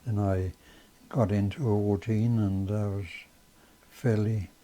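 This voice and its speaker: An older man with a Danish accent, a native Danish speaker, recalling his life in a slow, halting way with many pauses and fillers. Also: lowish voice, flat delivery, mellow. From Denmark. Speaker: father being interviewed. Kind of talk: casual reminiscing interview.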